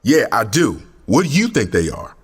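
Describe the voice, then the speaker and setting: An actor playing an intense, embittered young community activist in an audio drama, impassioned and slangy. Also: male voice, medium pitch, sing-song, moderate pace, breathy edge, in a large hall. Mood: bitter, militant.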